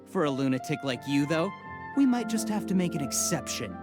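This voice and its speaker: A man's voice: fairly high pitch, very animated, fairly quick, music in the background. A man playing a zealous, hot-tempered desert mercenary swindler in a video game, preachy, sneering and threatening. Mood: angry, threatening.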